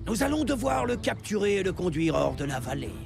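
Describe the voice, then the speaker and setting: A man voicing a stern but fair village chief in a French animated cartoon, speaking with measured authority. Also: sits high, vocal fry, full character voice. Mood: firm. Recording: loud music behind the voice.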